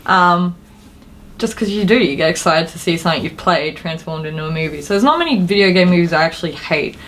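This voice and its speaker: A woman's voice: on the low side, expressive, quick pace. A woman co-hosting a podcast, speaking in a casual conversational style. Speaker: podcast co-host. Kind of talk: casual chat. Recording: faint room noise behind voice.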